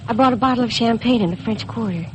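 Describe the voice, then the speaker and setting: An actress playing a meek, bewildered young American bride in a 1950s radio drama. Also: female voice, on the high side, fast talker, close to an everyday voice. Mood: hopeful. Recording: very noisy vintage radio recording.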